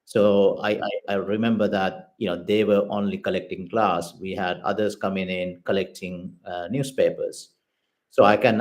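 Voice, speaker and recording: Sri Lankan-born male host, lowish voice, conversational, halting with frequent fillers. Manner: hesitant, many fillers and restarts while searching for words. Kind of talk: casual interview conversation.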